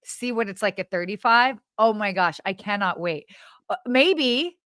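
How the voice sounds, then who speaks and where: A woman's voice: mid-range voice, animated, unhurried, fairly bright tone, clear, resonant tone, no echo, clean studio sound. A woman co-hosting a live travel show in casual chat. She is bubbly, laughs often, and trails off and restarts her sentences. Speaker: podcast co-host.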